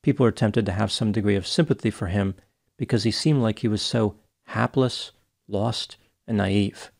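Thirties male educator, lowish pitch, even and clinical; reads a case analysis close on a boom mic. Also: creaky. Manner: measured, even, clinical delivery. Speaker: psychology educator. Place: small home studio, indoors. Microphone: close studio mic on boom arm.

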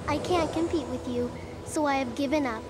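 A woman's voice: high voice, normal speed, music in the background, natural voice. A woman playing a snobby rich preteen rival in a cartoon. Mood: mock sorrowful. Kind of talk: animated series dialogue.

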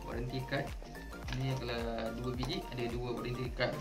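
A man with a Malaysian accent casually unboxing and demonstrating something, halting and repeating words as he explains. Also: mid-range voice, level tone. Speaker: vlogger. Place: indoors at a table at home. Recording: music playing behind the voice.

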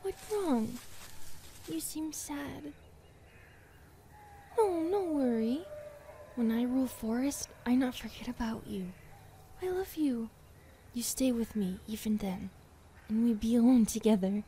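Woman acting high-voiced, softly feral skinwalker girlfriend. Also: slight background noise. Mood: gently possessive. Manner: slow, broken English, often whispering. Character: possessive, loving female skinwalker girlfriend, feral forest spirit. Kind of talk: ASMR roleplay.

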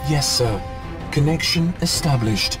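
A man's voice: fairly high pitch, expressive, a little slow, music in the background. A man voicing a dutiful computer butler in a video game, formal and deferential. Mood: composed, dutiful.

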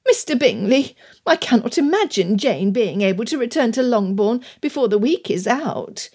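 A woman reader puts on a middle-aged mother from a Regency storybook. She is fussy and easily affronted, sniffing and scolding in a raised pitch with a soft-edged tone. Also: very animated, normal speed. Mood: boastful.

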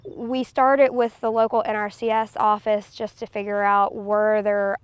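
A woman's voice: medium pitch, level tone, unhurried, clean tone, no room sound. A woman in her thirties from a farming family, interviewed outdoors on a lapel mic, talking conversationally with frequent "um" fillers. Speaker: farm family member. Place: outdoors in tall grass by trees.

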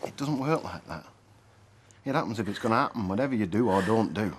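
An actor playing a soothing, consoling partner. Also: male voice, animated, fairly quick, a little hiss or hum, natural voice. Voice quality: low, soothing. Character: gentle, consoling male partner.

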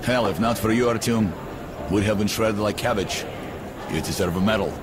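A man's voice: lowish voice, moderate pace, noisy background, natural voice. An actor playing a hearty, coarse male caravan fighter who drinks and boasts in a video game. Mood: hearty, tipsy. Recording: music under the voice.

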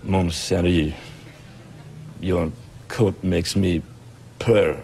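A man voicing a suave, romantic French seducer with a slow purring delivery, on a very noisy game show recording. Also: sits low, steady tone, full character voice. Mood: seductive, suave.

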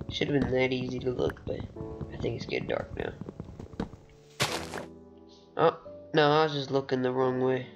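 A teenage boy with a recently deepened voice, rambling and chatty as he gives casual commentary over his own gameplay. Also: muffled recording. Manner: rambling, chatty, laughs often. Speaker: gaming channel host.

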